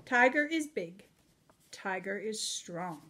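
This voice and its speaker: A middle-aged woman reading a children's storybook aloud in an expressive, deliberate way for young listeners. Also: medium pitch, slight background noise.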